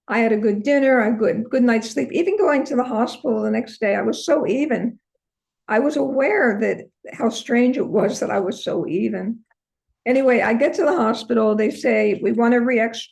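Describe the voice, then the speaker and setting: An older woman with a hoarse voice, telling her personal story as an interview guest. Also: fairly high pitch, a little mellow, rough recording. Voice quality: hoarse, from a vocal cord injury. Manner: earnest storytelling, many ums. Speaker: interview guest, holistic practitioner.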